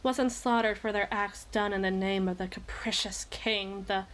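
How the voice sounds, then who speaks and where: A woman playing an obsessive, eloquent evil queen in a dramatic ASMR roleplay monologue, with deliberate, theatrical phrasing and pauses. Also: medium pitch, steady tone, fairly bright tone, natural voice. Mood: hesitant, confessional.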